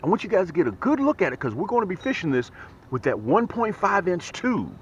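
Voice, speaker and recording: A man hosting a casual fishing vlog from a small boat on a lake, excitable and repetitive, often dropping to a hushed voice as he talks straight to viewers. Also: sits high, a little fast. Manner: drops to a hushed voice on the water. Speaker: fishing channel host. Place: small boat on a lake outdoors, early morning rain. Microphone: action camera mounted on the boat. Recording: dull lo-fi camera audio with faint outdoor noise.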